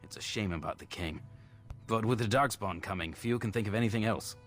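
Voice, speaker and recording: An actor playing a dutiful templar guard in a fantasy video game, speaking in a formal, measured way. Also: male voice, lowish voice, faint music behind, natural voice. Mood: sober, courteous. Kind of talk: video game dialogue acting.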